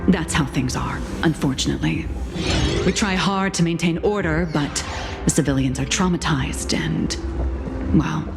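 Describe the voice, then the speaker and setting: A composed game commander in a crisis. Her low voice is formal and authoritative, carried on a long reverb with music behind. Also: normal speed, vocal fry. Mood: grave, composed. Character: composed, grave female commander briefing amid crisis. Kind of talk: video game character acting.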